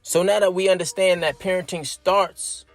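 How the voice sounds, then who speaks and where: High-voiced 30-year-old man making a point. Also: steady tone, moderate pace, soft background music. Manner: emphatic, explains step by step, keeps asking "right?". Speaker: vlogger. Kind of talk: casual opinion monologue.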